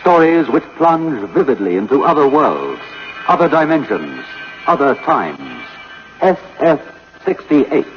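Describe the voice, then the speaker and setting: A man announcing a sponsored 1960s radio series in a deliberate, dramatic manner. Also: medium pitch, very animated. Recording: dull old radio recording. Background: music.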